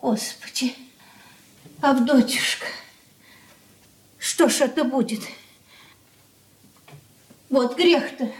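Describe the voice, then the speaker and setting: A woman's voice: high-pitched, drawn-out words, long pauses. An actress in a radio drama playing an anxious, submissive older mother, plaintive and pleading. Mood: alarmed, lamenting. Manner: plaintive lamenting with folksy diminutives. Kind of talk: Russian stage-style radio drama acting.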